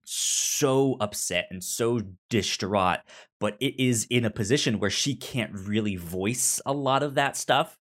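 A man co-hosting a podcast, casually discussing a film in a halting way, often repeating and restarting his words. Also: mid-range voice, a bit bright. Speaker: podcast co-host reviewing a film.

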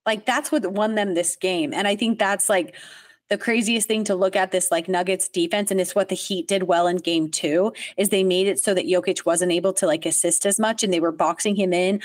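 A woman with a mid-range voice co-hosting a sports chat, talking quickly and chattily and filling her talk with "like." The recording is dry and close. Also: medium pitch, fairly even intonation, no room sound. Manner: chatty, laughs easily, says "like" often. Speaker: podcast co-host.

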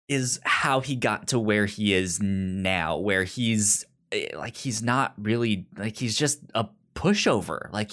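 A man's voice: fairly low pitch, a bit bright. A man co-hosting a podcast, chatting casually about a TV series, often stammering and restarting his words. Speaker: podcast co-host. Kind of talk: casual TV recap chat.

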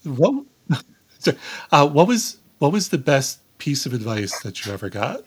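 A man hosting a casual interview podcast, speaking politely and haltingly and often repeating himself. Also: mid-range voice, very animated, breathy edge.

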